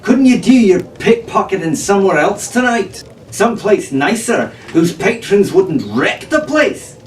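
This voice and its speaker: A man's voice: high voice, big pitch swings, unhurried, a little hiss or hum, pitched above the performer's own voice. A man reading a story, voicing a scolding young tavern maid girl, heard in an echoey room from a distance. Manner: sharp, scolding.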